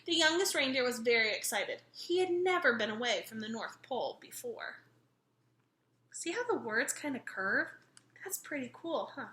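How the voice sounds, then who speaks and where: A woman's voice: on the high side, lively intonation, normal speed, pushed and bright, echoey room. A woman reading a children's picture book aloud expressively, engaging her young listeners as she goes. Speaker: read-aloud storyteller.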